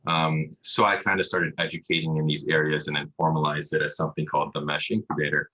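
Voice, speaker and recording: A man's voice: very deep voice, flat delivery, quick pace, sharp tone, rough recording. A man answering questions as a medical specialist in a casual interview over a video call, explaining things with frequent asides. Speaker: emergency radiologist, interview guest. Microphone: video call microphone.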